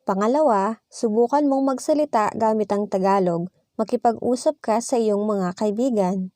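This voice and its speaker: A woman's voice: mid-range voice, moderate pace, warm tone, no echo. A woman reading both parts of a scripted practice dialogue, each line followed by its translation. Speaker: language teacher.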